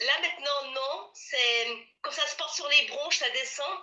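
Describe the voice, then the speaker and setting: Une femme enrhumée, le nez pris, qui parle de façon bavarde et répète les phrases d'une séance de tapotement en appel vidéo. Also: female voice, high-pitched, measured pace, a crowd in the background, poor audio. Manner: chatty, repeats herself, laughs often. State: congested, with a head cold. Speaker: participant in a group EFT session. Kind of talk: casual chat and repeating EFT phrases. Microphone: video call.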